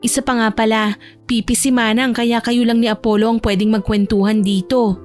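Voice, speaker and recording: A bossy big-sister type voiced by a female narrator nags playfully in a high voice. She teases as she goes, in audio drama style, with music underneath. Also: level tone, normal speed, natural voice. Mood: teasing. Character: bossy, teasing older female cousin. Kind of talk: Tagalog romance audio drama acting.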